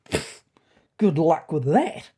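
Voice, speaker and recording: A blunt, streetwise tomcat acted out by a woman for a comic storybook. The voice is husky and mellow, with a mocking, scoffing edge to the delivery. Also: low voice, sing-song, takes it slowly, exaggerated voice. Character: blunt, scoffing, streetwise male pet cat.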